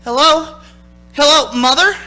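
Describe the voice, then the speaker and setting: An actor in a radio play playing a frightened young man who narrates his ordeal as he unravels, intense and halting. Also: very high voice, pushed and bright, full character voice. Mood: alarmed. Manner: intense, haunted, halting under strain. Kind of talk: dramatic radio play acting.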